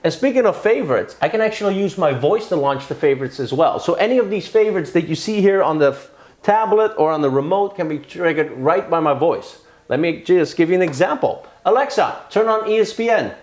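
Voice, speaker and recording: Higher-voiced man in an echoey room. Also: animated, brisk, darkish tone. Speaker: product demo presenter. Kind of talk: explanatory product demonstration. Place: living room in a house.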